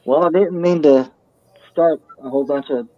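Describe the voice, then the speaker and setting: A man talks in a halting, earnest way, often restarting his words. His voice is a touch high but dark and mellow. The sound is dry and muffled, like a lo-fi recording. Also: no echo. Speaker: guest talking about himself. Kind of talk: casual group chat.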